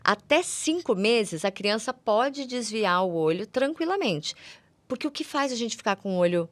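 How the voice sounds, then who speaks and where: A woman's voice: fairly high pitch, animated, moderate pace, no echo. Brazilian woman explaining, dry close sound. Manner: didactic, repeats words for emphasis; uses affectionate diminutives. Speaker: guest ophthalmologist. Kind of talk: conversational medical explanation for lay audience.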